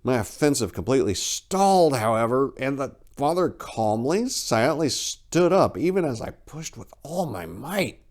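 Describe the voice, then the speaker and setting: A male narrator reads a horror tale in the first person. His delivery is theatrical and expressive, with wide swings around a medium pitch. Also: moderate pace, few pauses.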